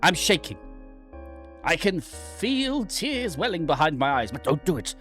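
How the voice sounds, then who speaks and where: A man acting an anxious, tormented submariner, wildly swinging pitch. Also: very animated, measured pace, fairly bright tone, soft background music. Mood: dread. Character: anxious, tormented submariner narrating his own humiliation. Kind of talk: dramatic reading of a horror visual novel.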